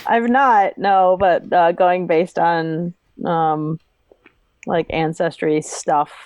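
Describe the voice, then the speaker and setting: A woman from Ohio with a Midwestern American accent, chatting casually and a little haltingly as a podcast co-host. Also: lowish voice, steady tone, a little mellow, dry recording. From Ohio, United States. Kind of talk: casual chat.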